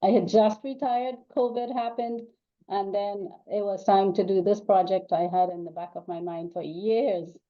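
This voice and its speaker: An older woman from Trinidad and Tobago talks as an interview guest over a video call. She wanders through her thoughts in loose runs, with frequent ums and restarts. Her voice sits at an ordinary pitch for a woman, and the audio is slightly dull and lo-fi. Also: fairly even intonation, normal speed. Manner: rambling, with many ums and restarts. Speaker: guest author. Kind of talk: casual interview chat.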